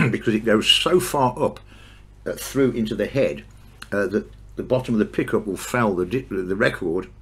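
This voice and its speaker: A man with a husky voice, informally demonstrating old audio equipment in a hesitant, conversational way. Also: fairly low pitch. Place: indoor room with record player. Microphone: clip-on mic, not near enough.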